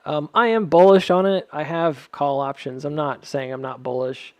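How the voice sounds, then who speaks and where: A man's voice: on the high side, steady tone, normal speed, darkish tone, no echo. A man giving casual, unscripted market commentary as a host, hedging and thinking aloud as he checks in with his listeners. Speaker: host of a stock chart analysis video.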